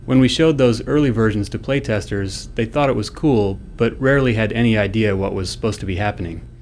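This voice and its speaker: Man, lowish register, scripted developer commentary, faint background noise. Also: a little fast, darkish tone. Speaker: game developer.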